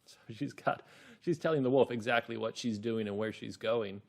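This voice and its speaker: A man hosting a children's reading lesson as a teacher, explaining and narrating encouragingly, with drawn-out emphasis. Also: mid-range voice, vocal fry. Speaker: teacher and host.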